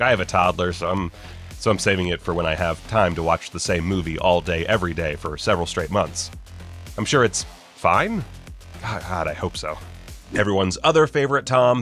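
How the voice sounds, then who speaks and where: A man's voice: low-pitched, a bit bright. A man narrating a scripted list video as host, with wry, sarcastic, joking asides. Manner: wry, sarcastic, jokey mock-casual delivery.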